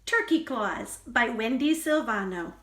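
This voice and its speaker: Woman storyteller, very lively intonation, slow deliberate pace, children's picture book reading, expressive. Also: on the high side. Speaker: librarian storyteller.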